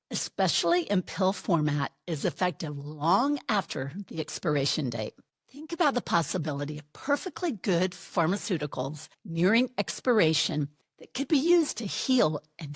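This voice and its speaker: Upbeat woman host, lively dry voice. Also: fairly low pitch, breathy edge, no room sound. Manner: upbeat and enthusiastic. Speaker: podcast host. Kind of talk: podcast interview hosting.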